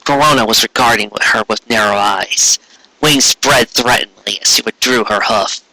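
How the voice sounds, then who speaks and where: A man narrating a story in an expressive, dramatic storybook style, with the odd aside. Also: medium pitch, normal speed, no echo, poor audio. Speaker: fan fiction reader.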